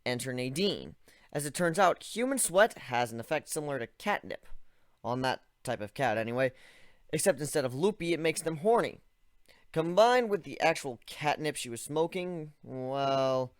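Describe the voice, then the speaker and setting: Man playing a laid back wry griffin guy in a storybook reading, jokey offhand talk, mid pitch, dry sound. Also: very animated, normal speed, no room sound, natural voice. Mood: casual. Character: easygoing young male griffin, wry and nervous.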